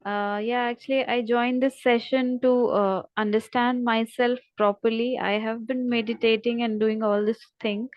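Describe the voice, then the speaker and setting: A woman taking part in an online workshop, sharing personally in a halting, hesitant way as she searches for words. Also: on the high side, little pitch movement, no echo, muffled recording. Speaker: workshop participant. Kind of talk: unscripted personal sharing on a call.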